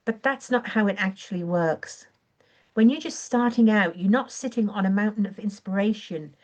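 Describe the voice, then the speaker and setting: Croaky-voiced woman giving a live coaching talk. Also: medium pitch, moderate pace, darkish tone, highs cut off. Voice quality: clears throat often. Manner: earnest, encouraging, with occasional self-corrections. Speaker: online business coach. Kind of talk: live-streamed training talk.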